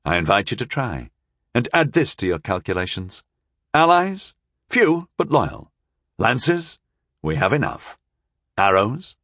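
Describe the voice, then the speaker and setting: A man's voice: medium pitch, very animated, measured pace, no room sound, studio quality. A man voicing a bold knight-mage hero in an audiobook, lecturing with cutting, oratorical defiance. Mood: defiant challenge.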